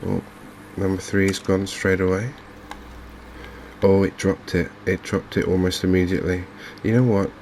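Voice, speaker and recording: A man with an English accent giving softly spoken, unhurried ASMR game commentary, musing gently and hesitantly as he goes. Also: low-pitched, level tone. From England. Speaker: gaming video host. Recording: faint background noise from open windows and fans.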